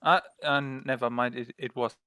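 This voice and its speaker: A man's voice: mid-range voice, fairly even intonation, normal speed, pushed and bright, dry recording, studio quality. A man with a German accent co-casting a video game match in an easygoing, casual way, tired late at night. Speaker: co-caster.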